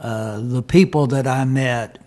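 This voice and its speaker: An older man, reminiscing casually and haltingly as he searches for names. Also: mid-range voice, steady tone, darkish tone. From Southern US, Tennessee and Kentucky. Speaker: guest country singer and storyteller.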